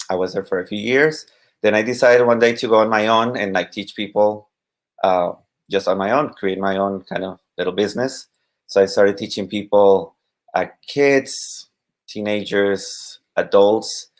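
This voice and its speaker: A Costa Rican man in his thirties talks about teaching Spanish on a casual live stream. His voice sits fairly low. He speaks haltingly, with plenty of fillers and restarts. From Costa Rica.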